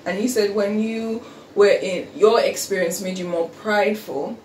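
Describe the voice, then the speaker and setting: A woman's voice: medium pitch, measured pace, far from the mic. Young Australian woman in a chatty conversation. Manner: chatty, animated, lots of fillers. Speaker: co-host. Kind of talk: casual conversation. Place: room in England. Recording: echoey room, voice off-mic, faint background hiss.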